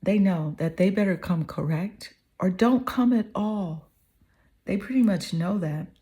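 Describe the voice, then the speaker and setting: A woman with a slightly hoarse, mellow, warm tone gives a tarot reading straight to the listener. She speaks slowly and earnestly, repeating her affirmations and checking in with the listener often. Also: medium pitch. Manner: earnest, affirming, repeats phrases with "okay?" and "you know". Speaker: tarot reader. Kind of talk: tarot card reading to the viewer.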